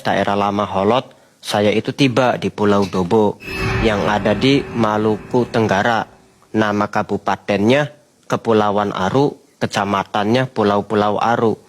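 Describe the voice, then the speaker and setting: A man, a guest telling a long personal story in an unhurried, vivid way. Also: on the low side, steady tone. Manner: unhurried, vivid storyteller who often addresses the host. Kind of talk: storytelling in a podcast chat. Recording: music playing behind.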